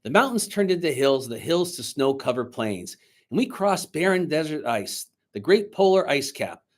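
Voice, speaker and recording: A man speaking to schoolchildren as their teacher, reading a storybook aloud in an expressive, dramatic way. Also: a little high, moderate pace. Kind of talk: children's storybook read-aloud and chat to pupils. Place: indoors, recorded remotely on video.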